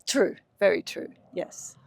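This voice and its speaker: A woman's voice: slow pace, a little mellow. Dutch lady middle aged, researcher chatting in an interview, explains stuff with questions, no room echo. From Netherlands. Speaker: interview guest, behavioural scientist. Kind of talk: conversational interview answers.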